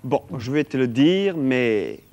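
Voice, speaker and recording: An actor playing a fussy, affected snob of a gentleman in a children's TV show. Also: mid-range voice, lively intonation, slow, smooth. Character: pompous snobbish gentleman.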